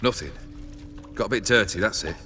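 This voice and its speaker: A man's voice: on the low side, a little fast, bright, forceful tone, soft background music. A man acting a brooding nobleman husband in a video game, gallant yet secretive. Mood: weary, evasive.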